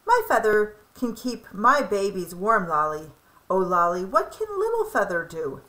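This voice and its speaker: A woman's voice: medium pitch, sing-song, unhurried, a bit bright, rough recording. A woman voicing a kindly, giggly mother hen in a children's storybook, exclaiming in a singsong way. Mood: amused, amazed.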